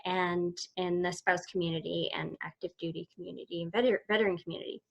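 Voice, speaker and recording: A woman's voice: on the low side, steady tone, fast talker, vocal fry, muffled recording. A woman, telling her story as an interview guest in a rambling, casual way. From Eastern Canada. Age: 40s. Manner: rambling, many restarts and "so"s. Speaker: guest, summit founder.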